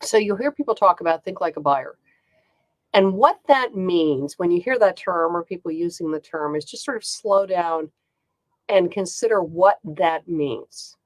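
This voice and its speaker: A woman's voice: on the low side, expressive, a little slow, no echo, rough recording. A woman with a congested voice hosting a casual chat, talking in a chatty way with frequent ums. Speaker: host.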